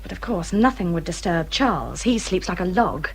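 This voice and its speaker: An actress playing a self-pitying hypochondriac English gentlewoman in a period radio drama, plaintive and complaining, with sighs and strong emphasis. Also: fairly low pitch, lively intonation, moderate pace, a little hiss or hum.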